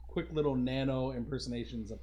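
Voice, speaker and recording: A man's voice: medium pitch, fairly quick, dark tone, lo-fi sound. Male podcast host, mid-range voice, informal livestream chat aimed at the viewers. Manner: informal, addresses viewers as guys. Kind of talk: casual livestream hosting.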